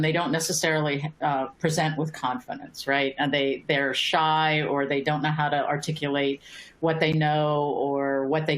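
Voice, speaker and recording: A woman with a notably low voice speaks as a panelist in an online discussion. She talks conversationally, repeating words as she gathers her thoughts and checking in with "right?".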